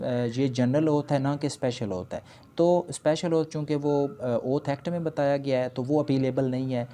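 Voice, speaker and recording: A man with a Pakistani accent giving an explanatory law lecture, switching between explanation and slowly read English legal phrases. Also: medium pitch, warm tone, a little hiss or hum. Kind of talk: explanatory lecture, reading out points and explaining them.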